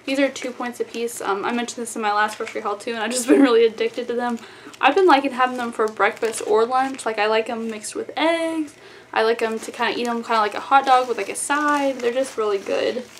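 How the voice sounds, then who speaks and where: A woman's voice: on the high side, a little fast. A woman in her twenties from Texas with an American accent, chatting casually and informally to camera as a vlogger, with frequent "like" and "y'all". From Texas, United States. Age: 20s. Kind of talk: casual grocery haul chat to camera. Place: small plain indoor room. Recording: clearly noisy room background.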